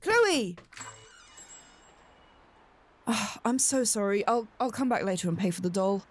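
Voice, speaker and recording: A woman's voice: medium pitch, lively intonation, moderate pace, lightly acted. A woman playing a strained, apologetic but firm stepmother in a horror radio drama. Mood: embarrassed.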